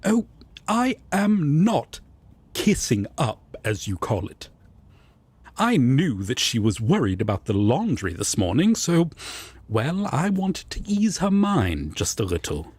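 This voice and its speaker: Man playing a prim, husky, haughty angel. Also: mid-range voice, wildly swooping pitch, slow pace, darkish tone, dry recording, full character voice. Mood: flustered, defensive. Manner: formal, fussy, haughty diction. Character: prim, indignant male angel roommate, tenderly devoted. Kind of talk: romantic comfort audio roleplay acting.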